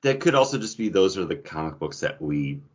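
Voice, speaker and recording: Man, low voice, podcast co-host, casual discussion, conversational and explanatory, slightly muffled lo-fi audio. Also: very animated, a little fast. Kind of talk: casual group discussion about comics.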